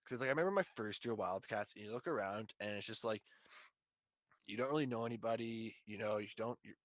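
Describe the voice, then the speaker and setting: A man's voice: on the low side, fairly quick, no room sound. A man in his twenties hosting a casual podcast chat, talking in slangy, profane bro-talk. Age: 20s. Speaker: podcast host.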